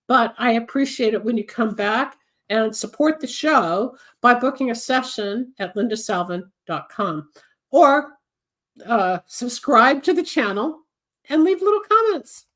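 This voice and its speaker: A woman's voice: a little high, moderate pace. A woman in her seventies hosting a psychic advice show, talking at length and rambling from topic to topic, congested and getting over a cold. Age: 70s. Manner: chatty, rambling, switches topics, gives advice. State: recovering from a cold, coughing. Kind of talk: solo live show monologue, reading viewer comments.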